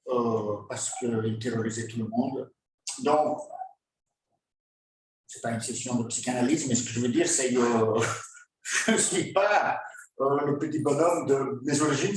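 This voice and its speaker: A man, giving a lecture as a guest speaker, halting, with frequent filler pauses and wry asides. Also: medium pitch, a little hiss or hum. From Belgium. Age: 50s. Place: lecture hall. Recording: very echoey hall from far off.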